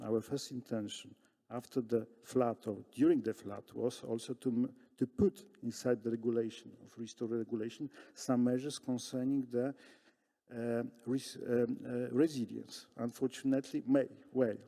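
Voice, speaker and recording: A man with a Polish accent answering as a conference panelist, halting with many fillers and restarts. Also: mid-range voice, dark tone. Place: large conference hall. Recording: long hall reverb.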